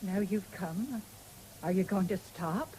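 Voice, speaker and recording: A woman's voice: mid-range voice, takes it slowly, warm tone, close to an everyday voice, poor audio. An actress playing a proud, stubborn ageing farm woman in a radio drama, speaking in a brusque, defiant way. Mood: curt, guarded. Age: older. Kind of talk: 1970s British radio drama acting. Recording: faintly hissy old radio broadcast.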